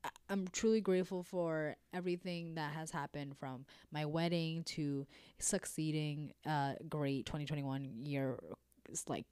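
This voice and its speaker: A young woman chats casually on her podcast, her voice low and a bit stuffed up from a cold. She talks in an animated way, laughs often and leans on repeated words. The sound is dry and close. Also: measured pace, clear, resonant tone, no room sound. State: congested with a cold. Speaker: podcast co-host. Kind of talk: casual chat.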